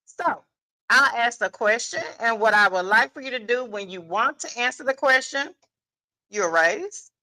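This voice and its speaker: A woman's voice: a little high, expressive, measured pace, sharp tone, low-quality recording. A woman hosting a live panel in a casual, playful and commanding way, repeating phrases for emphasis. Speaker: guest moderator and host. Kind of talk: lively unscripted event hosting, casual banter. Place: live podcast panel event.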